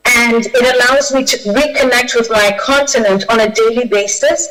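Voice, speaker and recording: A woman's voice: fairly high pitch, steady tone, normal speed, fairly bright tone, low-quality recording. A woman from Kenya, a guest speaker on a video call, answering audience questions with many fillers and restarts. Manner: hesitant, with frequent fillers and restarts.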